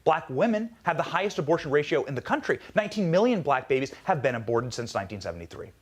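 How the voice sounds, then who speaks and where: A man delivering a scripted political speech in an emphatic manner with dramatic pauses. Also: on the high side, big pitch swings.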